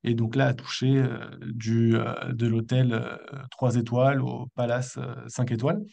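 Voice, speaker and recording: A man's voice: mid-range voice, little pitch movement, moderate pace, creaky, a little mellow. A man in his thirties, an entrepreneur telling his story casually as a podcast guest, informal and a little hesitant. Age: 30s. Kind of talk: casual spoken account in an interview.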